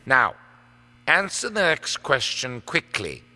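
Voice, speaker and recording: An actor playing a sadistic, gloating villain in an audio drama, smug, taunting and menacing. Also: male voice, mid-range voice, huge pitch swings, slow pace, pushed and bright, heavily acted. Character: sadistic, gloating male villain holding captives. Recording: music under the voice. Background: dramatic background music.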